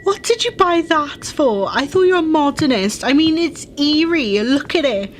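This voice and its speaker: A woman playing a high-voiced, spirited daughter. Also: animated, a little hiss or hum. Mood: sarcastic, indignant. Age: young adult. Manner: exasperated, sarcastic, quick to argue. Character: spirited, argumentative young Welsh university student daughter. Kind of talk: 1940s-style radio play acting.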